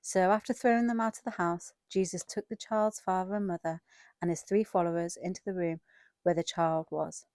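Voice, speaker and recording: Mellow low-voiced woman narrating scripture. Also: steady tone, moderate pace, no room sound, studio quality. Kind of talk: scripted dramatized Bible narration.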